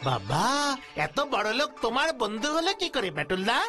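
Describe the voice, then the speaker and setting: A woman's voice: on the high side, very animated, normal speed. A woman voicing a playful, easily amazed young boy in a children's cartoon, exclaiming in wonder over music. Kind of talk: Bengali children's cartoon dubbing. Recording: music behind the voice.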